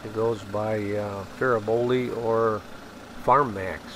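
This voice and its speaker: A man's voice: fairly low pitch, slow. An older man from the States narrating an assembly how-to in a plain, hesitant way with many fillers. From United States. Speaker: video host showing machine assembly. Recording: voice recorded outdoors with steady background noise.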